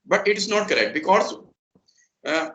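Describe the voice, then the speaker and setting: Bright high-voiced Indian man, earnest. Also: big pitch swings, moderate pace, reverberant. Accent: Indian English. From Bihar, India. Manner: earnest, polite, often says "sir". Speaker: interviewee, English learner. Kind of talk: casual conversational interview.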